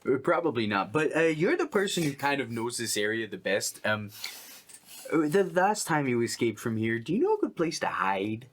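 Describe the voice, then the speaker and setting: Animated man playing a tabletop game. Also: medium pitch, moderate pace, slight background noise. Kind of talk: casual game chat.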